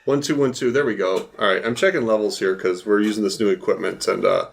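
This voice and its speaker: A man's voice: lowish voice, quick pace, creaky. A man co-hosting a podcast, talking informally and giving his opinions in a casual film discussion. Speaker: podcast co-host.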